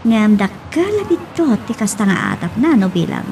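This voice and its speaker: A woman with an Ilocano accent playing an excitable, lovestruck young woman in an audio drama. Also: medium pitch, moderate pace, gravelly, a little hiss or hum. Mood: excited.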